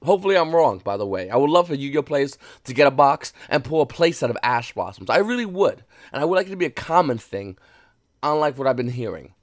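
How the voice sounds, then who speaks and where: Guy talking quiet at home, dry sound, mid pitch, blunt and opinionated, drops a swear here and there. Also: very animated, quick pace, no echo. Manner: keeps voice lowered. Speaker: card market commentator. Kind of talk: casual price commentary. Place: home, early morning.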